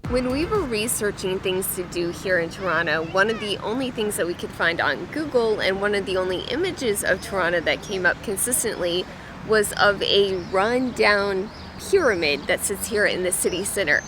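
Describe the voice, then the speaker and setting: A woman co-hosting a travel vlog, narrating casually and exclaiming with excitement. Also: medium pitch, moderate pace, noisy background. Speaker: travel vlogger co-host.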